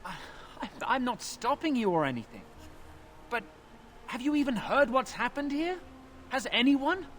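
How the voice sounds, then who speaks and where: Frightened young villager, high voice, animated intonation, polite and earnest, fantasy video game. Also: male voice, normal speed, exaggerated voice. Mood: urgent, incredulous. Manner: earnest, anxious. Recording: game audio with clear ambient noise.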